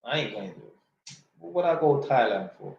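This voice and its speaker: A man's voice: mid-range voice, lively intonation, normal speed, creaky, lo-fi sound. Blunt man in a very echoey room. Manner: blunt, swears freely, slangy and joking. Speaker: YouTuber answering viewer questions. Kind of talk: casual Q&A, reading out questions.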